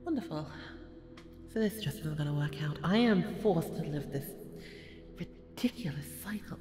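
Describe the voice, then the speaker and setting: A woman voicing a bored, volatile, haughty goddess in an ASMR audio roleplay, drawling and slipping into breathy murmurs. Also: deep voice, expressive, normal speed, darkish tone. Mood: bored, frustrated. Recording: echoey hall reverb with music behind.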